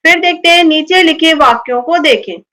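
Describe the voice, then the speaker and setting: Woman, high voice, children's grammar teacher, didactic, question-led explanation, encouraging. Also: normal speed, sharp tone. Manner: didactic, checks understanding with frequent questions. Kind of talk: explanatory lesson addressed to children.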